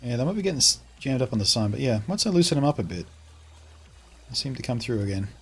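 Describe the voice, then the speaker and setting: A man's voice: mid-range voice, big pitch swings, fast, slightly rough. A man with an Australian accent streaming a game from home, chatting casually and answering viewers' comments as he plays. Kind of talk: casual live-stream chat while playing.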